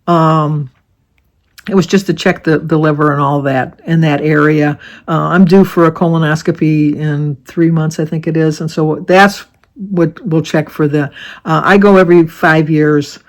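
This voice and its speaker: A woman in her seventies from Michigan, chatting casually to her viewers with a slightly raspy voice and rambling off topic. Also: deep voice, fairly even intonation, moderate pace. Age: 70s. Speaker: vlogger. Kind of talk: casual chat to camera.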